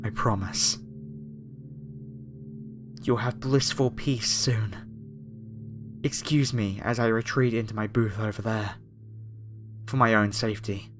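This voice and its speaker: A man playing a gently menacing, fervently devout cult zealot in a horror ASMR roleplay. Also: fairly low pitch. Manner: slow, soothing, solemn, menacingly tender.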